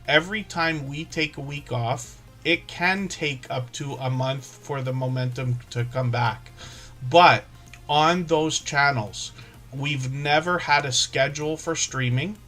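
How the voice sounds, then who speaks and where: A man's voice: medium pitch, fairly bright tone. A man hosting a livestream for small creators, talking casually and at length, with many fillers and self-corrections. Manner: rambling, filler-heavy, restarts and self-corrections.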